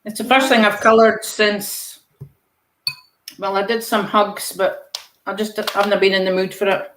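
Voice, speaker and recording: Woman, older, soft-spoken, trailing off, dry humour, video call, roomy echo. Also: mid-range voice, level tone, unhurried, a little hiss or hum. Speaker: participant in a live colouring call. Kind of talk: casual chat.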